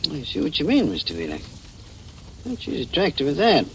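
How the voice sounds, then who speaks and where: An actor playing a steady, plainspoken small-town police chief asking probing questions in a 1940s radio drama. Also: male voice, on the high side, a little fast. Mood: thoughtful, probing. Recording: noisy old 1940s radio recording.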